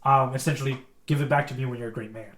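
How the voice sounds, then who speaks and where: A man co-hosting a podcast in casual, enthusiastic, expressive chat, recorded in an echoey room. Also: medium pitch, racing. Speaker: podcast co-host.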